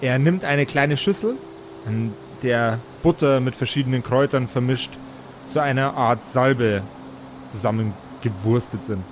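Male game master, medium pitch, improvised descriptive storytelling in deliberate phrases, faint noise in the background.

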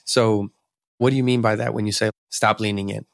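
A man hosts an interview, working through long, thoughtful questions and recaps. His voice is low-pitched. The sound is dry and close, with no room around it. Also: flat delivery, fairly quick, vocal fry, no room sound. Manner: reflective, long-winded questions. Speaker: podcast host. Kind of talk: interview hosting.

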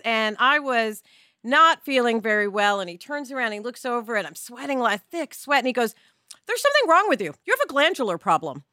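A woman with a high, bright, forward voice hosts a casual podcast conversation. She is animated and rambles freely, often acting out what people said. The sound is dry and close. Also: no room sound. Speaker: podcast host.

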